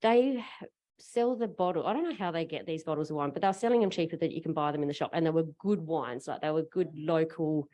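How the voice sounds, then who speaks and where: A woman's voice: deep voice, quick pace, dark tone. A woman on a conference panel, telling a story in a chatty, rambling way. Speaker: panelist, parent fundraiser. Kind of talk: casual panel talk.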